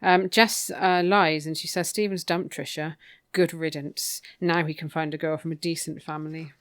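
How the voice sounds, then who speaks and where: A woman's voice: low-pitched, steady tone, normal speed, a bit bright, no echo. A woman co-hosting a podcast, chatting casually through a recap with wry, opinionated asides. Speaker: podcast co-host. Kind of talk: casual book recap chat.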